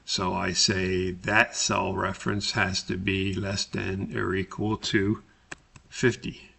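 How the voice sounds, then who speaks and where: Man, instructor, step-by-step tutorial, low voice, heavy vocal fry, deliberate explaining. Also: level tone, bright, forceful tone. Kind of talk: software tutorial narration, step-by-step lesson.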